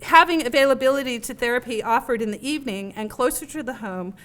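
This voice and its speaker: A woman's voice: fairly high pitch, quick pace. A woman researcher giving an academic talk in a lecture room, reading figures off her slides with frequent fillers and self-corrections. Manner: says she has a loud voice. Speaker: researcher presenting a study.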